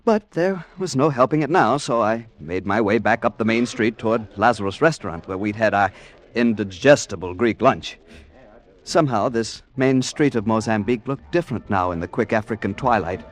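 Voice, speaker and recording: A man's voice: lowish voice, expressive, moderate pace, natural voice. An actor playing a timid, prim American tourist in an old-time radio drama, stammering politely and narrating his adventure. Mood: uneasy, confiding. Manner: flustered, stammering, polite nervous chuckles. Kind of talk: 1940s-50s American radio drama acting. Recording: vintage radio broadcast with music.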